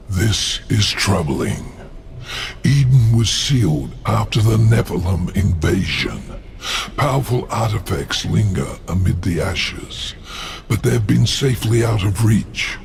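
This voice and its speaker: A man voicing a grave, menacing ancient overseer spirit in a game cutscene, with a gravelly, slow and portentous delivery. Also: deep voice, expressive, slight background noise, full character voice. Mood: brooding. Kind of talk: video game cutscene acting.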